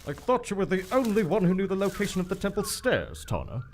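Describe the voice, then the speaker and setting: A pompous, eager prince explorer, acted by a man in a radio adventure. His theatrical, clipped speech swings very widely in pitch. Faint noise runs behind the live recording. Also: wildly swooping pitch, fast talker. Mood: eager, confident. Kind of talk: old-time adventure radio acting. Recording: live stage recording, some background noise.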